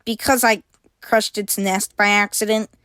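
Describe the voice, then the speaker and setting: A woman's voice: medium pitch, level tone, measured pace, fairly bright tone, no echo, natural voice. A woman voicing a small, timid male satyr shapeshifter who whines and pleads. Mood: pleading. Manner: whiny, pleading, mumbling.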